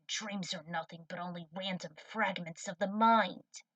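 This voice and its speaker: A woman's voice: on the low side, steady tone, measured pace, a bit bright, no room sound, far from the mic. A woman voicing a skeptical, stern fatherly man in a fan fiction story reading. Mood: dismissive.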